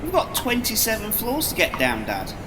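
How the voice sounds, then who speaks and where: A man's voice: sits high, wildly swooping pitch, moderate pace, noisy background. A man playing a pedantic young son in an audio drama, precise and matter-of-fact. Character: pedantic young son correcting his father. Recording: distant mic under loud music.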